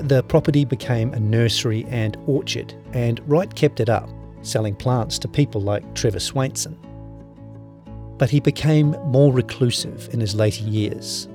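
A man's voice: mid-range voice, warm tone. A man with a New Zealand accent, speaking as a journalist. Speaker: journalist reading his own story.

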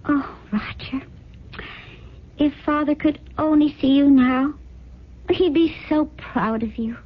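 An actress playing a genteel, devoted wife who speaks politely and sentimentally in a radio drama. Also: female voice, high-pitched, slow pace. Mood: gushing pride. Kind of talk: 1970s radio drama acting. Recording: dull old radio recording, faint hiss.

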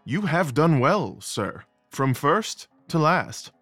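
A man playing a courteous, composed Victorian gentleman detective in an audio drama, speaking in a measured, formal way. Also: fairly high pitch, sing-song, no room sound, faint music behind, heavily acted. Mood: measured, polite.

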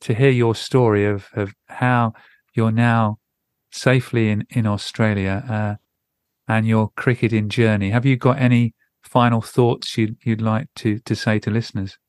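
A man's voice: fairly low pitch, flat delivery, measured pace, smooth, dry recording, clean studio sound. A man hosting a podcast interview in a conversational way.

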